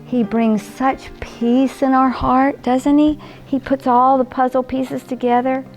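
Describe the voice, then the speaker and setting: A woman's voice: sits high, darkish tone. An older woman hosting bedtime stories and faith lessons for small children, in a grandmotherly, sing-song, coaxing manner, often putting questions to her young listeners. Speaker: children's storyteller and host.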